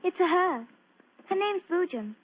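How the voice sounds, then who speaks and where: A woman's voice: very high-pitched, sing-song, unhurried, exaggerated voice. A woman playing a curious, cautious little girl who asks blunt questions in a radio drama. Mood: curious, wary. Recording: tinny, noisy old radio recording.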